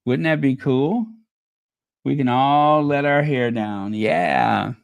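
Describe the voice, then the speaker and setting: A man hosting a casual livestream, musing aloud and chatting with viewers, with many pauses and ums, while saying he is losing his voice. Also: medium pitch, creaky, dry recording. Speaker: channel host.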